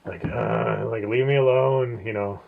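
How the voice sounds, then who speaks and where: A man with a mid-pitched voice talks through a casual, opinionated review. He rambles and thinks aloud as he goes. The recording is dull and lo-fi. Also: fairly even intonation, unhurried, gravelly. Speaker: co-host of a comics review show. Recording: dull, lo-fi sound.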